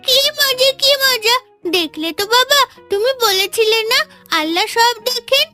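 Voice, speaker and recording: A woman's voice: very high-pitched, expressive, measured pace, over music. A woman voicing an earnest, innocent little village girl in a fairy-tale cartoon, with a thin childlike voice. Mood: delighted.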